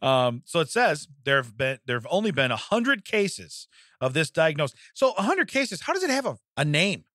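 A man's voice: fairly high pitch, big pitch swings, moderate pace, bright, forceful tone, no echo. A man co-hosting a comedic podcast in a studio, rambling and laughing often as he reads out news stories. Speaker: podcast co-host. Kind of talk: casual comedic podcast chat. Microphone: studio microphone.

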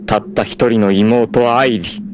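An actor playing a proud, doomed martial-arts warrior in an anime, tender and self-sacrificing, with solemn, theatrical delivery. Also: male voice, on the low side, fairly even intonation, takes it slowly, darkish tone, dull audio, a little hiss or hum. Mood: solemn, resolute. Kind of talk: dramatic anime acting, monologue and narration.

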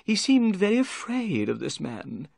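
High, excitable, nervous little man. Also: unhurried, creaky, no room sound, clean studio sound, full character voice. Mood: earnest recounting. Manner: exclamatory, high-strung, dramatic storytelling. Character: nervous, excitable little bald middle-aged man, fretful and eager.

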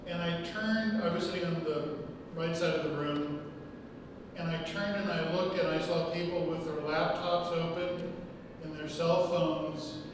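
A middle-aged man speaks at a podium microphone, wry and self-deprecating in a loose lecture style. His fairly high voice rings through a large hall, heard from some distance with steady background noise. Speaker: guest lecturer. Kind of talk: public lecture. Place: large hall with arched windows. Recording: echoey hall, far from the mic.

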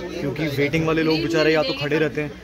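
A man's voice: on the high side, little pitch movement, fast talker. A man, narrating a casual travel vlog to a handheld camera. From Najafgarh, Delhi, India. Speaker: travel vlogger. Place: railway stations and inside a crowded train. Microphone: handheld camera at arm's length. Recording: busy crowd noise around the voice.

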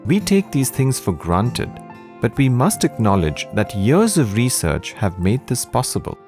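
A man's voice: mid-range voice, big pitch swings, unhurried, darkish tone. A man reading scripted narration for an educational documentary, with music behind him. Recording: music under the voice. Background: guitar music.

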